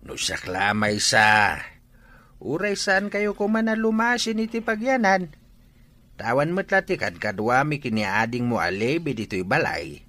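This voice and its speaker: A man's voice: mid-range voice, sing-song, measured pace, bright, forceful tone. A man playing an earnest older father in an Ilocano radio drama, admonishing and advising with an Ilocano Filipino accent. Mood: fatherly advice. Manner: admonishing, emphatic.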